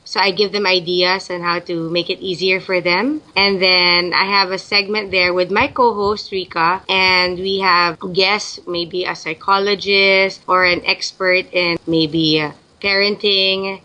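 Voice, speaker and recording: A young woman from the Philippines speaks in a bright, clear voice. She answers interview questions in a loose, chatty way, often restarting her sentences as she thinks aloud. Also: on the low side, flat delivery, a little slow, few pauses, poor audio. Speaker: guest.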